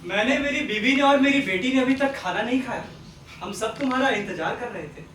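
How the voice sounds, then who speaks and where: Earnest, courteous father played by a man, measured and insistent, roomy stage play, faint noise. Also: very high voice, fairly bright tone. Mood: polite, insistent. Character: earnest, forgiving family man, gently moralising father. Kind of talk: Hindi stage play acting. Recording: echoey, distant stage recording with noise.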